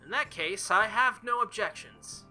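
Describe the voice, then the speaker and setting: Man voicing a polite young swordswoman, highish voice. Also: animated, pushed and bright, soft background music, exaggerated voice. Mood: formal. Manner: formal, measured wording. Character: polite, serious, reserved young swordswoman, visual novel.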